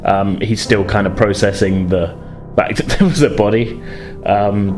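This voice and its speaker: A man's voice: fairly low pitch, fairly even intonation, unhurried, a little mellow. A man improvising tabletop game narration as game master, in a tentative, conversational way. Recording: music behind the voice.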